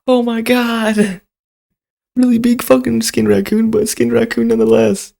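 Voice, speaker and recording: A video host giving casual reaction commentary in an informal, expressive, sweary way. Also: mid-range voice, normal speed, gravelly, dry recording, clean studio sound.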